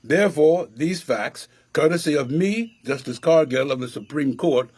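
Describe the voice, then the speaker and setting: A pompous but genial judge in a radio play. His fairly high male voice delivers formal phrases haltingly. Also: expressive, darkish tone, breathy edge. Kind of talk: radio drama acting.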